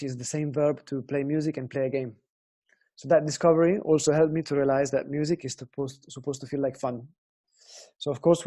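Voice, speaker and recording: A man's voice: a little high, dark tone. A young man from Italy with an Italian accent, teaching guitar in an earnest, explanatory way and often asking viewers questions. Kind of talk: online guitar lesson talk.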